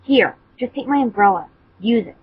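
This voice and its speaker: A woman's voice: a little high, lively intonation, moderate pace, slightly rough, no echo. A woman voicing a tough, teasing teenage girl in an amateur fan fiction reading. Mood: blunt, reluctant. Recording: muffled phone-like voice chat line.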